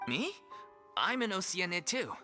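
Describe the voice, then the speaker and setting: An actor playing a loyal, sincere young stage performer in a video game, frank and plain-spoken, over music. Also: male voice, high-pitched, very animated, measured pace. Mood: friendly. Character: sincere young male troupe actor, loyal, once bullied orphan.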